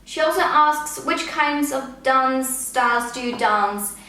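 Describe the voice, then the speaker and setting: A young woman in her early twenties chats through a viewer Q&A, her voice sitting a little high and filling gaps with "um" and "like". The room is strongly echoey, so every word rings off the walls. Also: slow pace, sharp tone. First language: Danish. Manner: chatty, many "um" and "like" fillers. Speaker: vlogger. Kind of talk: casual Q&A chat.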